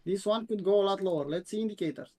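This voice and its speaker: A man from Romania with a Romanian accent, reviewing crypto charts on a live stream in a halting way, with many fillers and repeats. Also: sits high, dark tone. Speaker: co-host, crypto chart analyst.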